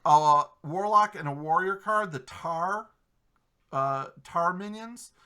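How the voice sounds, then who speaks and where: A man with a high-pitched, bright, forward voice hosts a casual gaming chat. He rambles through fillers and restarts and keeps it loose and friendly over a desktop mic. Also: slow, smooth. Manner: chatty, self-interrupting with many fillers. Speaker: podcast host.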